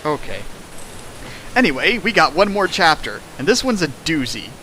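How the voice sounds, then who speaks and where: Young male riffer, bright and slightly high voice, sarcastic comic reader. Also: very animated, moderate pace, a little hiss or hum, rough recording. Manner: sarcastic, jokey, laughs while reading. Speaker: reader and riffer of a fan fiction. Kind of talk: comedic dramatic reading with riffing.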